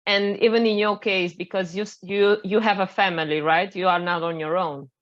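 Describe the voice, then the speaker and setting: A woman from Romania hosting a live online interview, often pausing and restarting her sentences. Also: medium pitch, steady tone, muffled recording. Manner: hesitant, restarts phrases often. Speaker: interview host. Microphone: video call.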